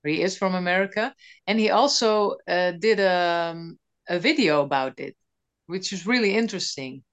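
A woman takes part in a group discussion in a slightly low voice. She speaks hesitantly and often stops for fillers. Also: normal speed, clear, resonant tone. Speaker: group participant.

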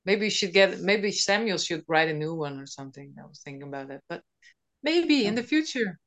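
A woman's voice: fairly low pitch, expressive, a little fast. A woman in a discussion group, speaking hesitantly with many fillers. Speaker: group participant.

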